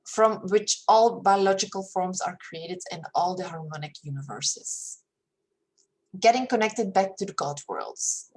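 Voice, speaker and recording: A woman from Belgium with a Flemish accent, whose first language is Flemish, reading a spiritual paper aloud in a careful, steady way. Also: medium pitch, low-quality recording. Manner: careful, steady reading with hesitant fillers when speaking freely. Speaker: reader of a paper. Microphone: screen-share recording.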